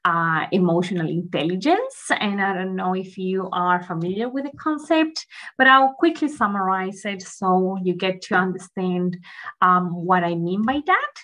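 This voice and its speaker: A woman's voice: medium pitch, pushed and bright, poor audio. A woman from Chile in her thirties giving a friendly, chatty guest lecture over a video call, with frequent ums. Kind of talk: online academic presentation.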